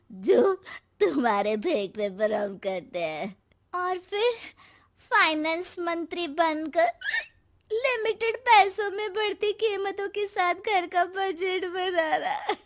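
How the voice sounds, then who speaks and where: A woman voicing a fed-up, drunk and rebellious wife in a cartoon story, with dramatic drawn-out declaiming. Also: sits high, animated, voice pushed up, full character voice. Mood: sarcastic, resentful.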